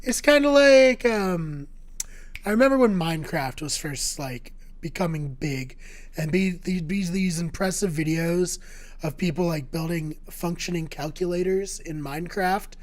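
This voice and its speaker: A man co-hosting a casual video game podcast, his voice going hoarse, talking in an upbeat, jokey way with rambling anecdotes. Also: a little high, unhurried. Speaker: podcast co-host. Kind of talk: casual chat.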